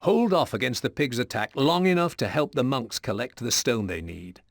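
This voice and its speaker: A man delivering measured, serious scripted narration for video game mission briefings. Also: medium pitch, animated. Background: music with plucked guitar.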